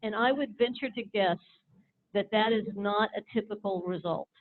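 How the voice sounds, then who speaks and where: Conference questioner, medium-pitched voice, conversational question, muffled lo-fi recording. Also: normal speed. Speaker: audience questioner or moderator.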